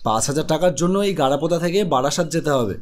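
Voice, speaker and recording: A man's voice: on the high side, animated, rapid-fire, darkish tone, natural voice. A man voicing an irritable, sarcastic young unemployed man in a cartoon, with a West Bengal Bengali accent. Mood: irritated. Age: young adult. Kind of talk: animated cartoon dialogue.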